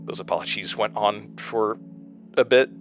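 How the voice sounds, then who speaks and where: An actor as a haunted, weary man in a horror audio drama, his voice sitting fairly low. He speaks in a halting, confessional way with a wry edge, with faint music underneath. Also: close to an everyday voice. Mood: wistful, hesitant. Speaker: lead character and narrator of a horror audio drama. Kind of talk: horror audio drama acting, narration and intimate dialogue.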